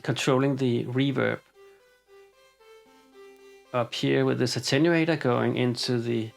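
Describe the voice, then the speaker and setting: Gravelly-voiced man explaining a synth patch. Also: medium pitch. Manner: relaxed, halting with frequent ums and uhs. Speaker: synthesizer demonstrator. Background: synthesizer music.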